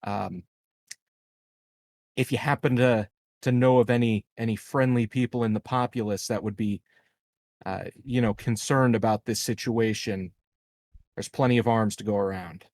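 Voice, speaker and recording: A man playing a tabletop game, talking casually and haltingly with plenty of swearing. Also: on the low side, fairly even intonation, darkish tone. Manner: profane, halting.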